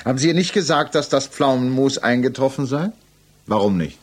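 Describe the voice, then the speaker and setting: An actor playing a probing, sceptical examining magistrate in a German radio play, speaking precisely and pointedly. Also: male voice, medium pitch, lively intonation, a little fast, lightly acted.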